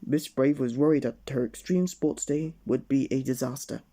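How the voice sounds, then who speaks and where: Casting: male bedtime-story reader, mellow dark tone, gentle storybook narration for children. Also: medium pitch, fairly even intonation, moderate pace.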